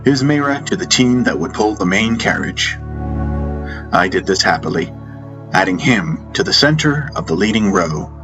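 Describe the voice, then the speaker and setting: A man reading a first-person fantasy story as scripted audiobook narration. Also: sits low. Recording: music bed behind narration. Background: background music.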